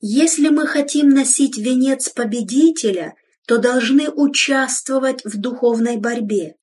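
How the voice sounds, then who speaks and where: A woman giving a solemn, measured devotional reading in an exhorting tone, heard from some distance from the microphone. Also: high voice, a little mellow, husky. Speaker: reader of devotional text.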